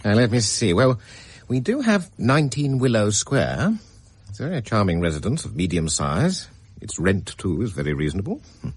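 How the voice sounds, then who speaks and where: A fussy, evasive estate agent. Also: male voice, fairly low pitch, very animated, moderate pace, breathy edge, no room sound, exaggerated voice. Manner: hesitant, deferential, hedging with ums. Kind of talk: radio drama acting.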